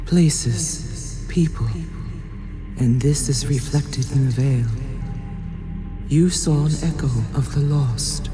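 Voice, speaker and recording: A woman playing a solemn, cryptic temple spirit in a dark fantasy radio play, speaking portentously. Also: low voice, slow, pauses often. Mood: solemn, foreboding. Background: music.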